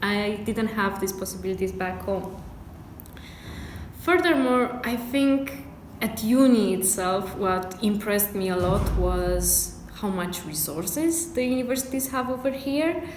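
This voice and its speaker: A woman's voice: medium pitch, lively intonation, measured pace, slight background noise. Young woman from Romania. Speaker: PhD student. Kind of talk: casual interview. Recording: echoey room, faint ambience.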